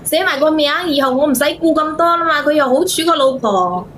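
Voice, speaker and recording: Very high-pitched, hesitant woman caller. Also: lively intonation, brisk, fairly bright tone, a little hiss or hum. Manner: hesitant, defensive, repeating herself. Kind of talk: explaining a family problem on a call-in.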